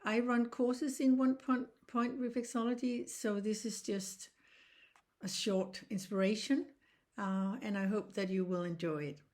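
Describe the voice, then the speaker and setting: A Danish woman in her sixties, a reflexology teacher speaking English as a second language, explaining in an earnest, halting way with frequent restarts. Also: medium pitch, fairly even intonation, darkish tone. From Denmark. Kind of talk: informal online lesson.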